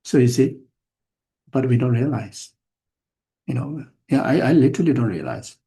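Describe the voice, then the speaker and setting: Older male Buddhist teacher, gravelly, mellow tone, halting delivery full of restarts. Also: mid-range voice, expressive. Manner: halting, repeats words and restarts phrases often. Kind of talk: dharma teaching to an audience.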